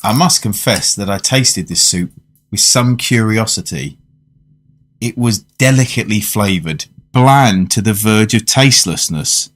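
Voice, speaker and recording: A man plays a courteous, curious gentleman diner recounting his tale in a radio drama. His voice sits fairly low. He words things formally and in a measured manner. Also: lively intonation. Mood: reflective. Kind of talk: radio drama narration and dialogue.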